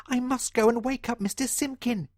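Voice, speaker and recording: A man voicing a small, anxious storybook mouse with a squeaky, fretful way of exclaiming. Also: fairly even intonation, moderate pace, a little mellow, no room sound, studio quality.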